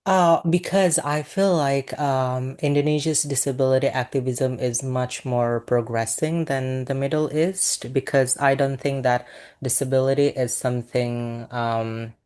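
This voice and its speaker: A young man from Saudi Arabia, a PhD researcher answering interview questions as a podcast guest, pausing often with ums and uhs. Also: medium pitch, fairly even intonation, a little mellow, clean tone. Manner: hesitant, many ums and uhs.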